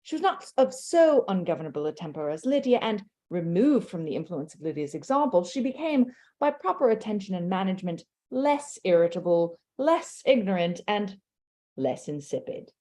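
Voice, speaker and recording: A woman cohosting a book chat over a video call, reading a passage from a novel aloud in an animated, playful way. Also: mid-range voice, normal speed.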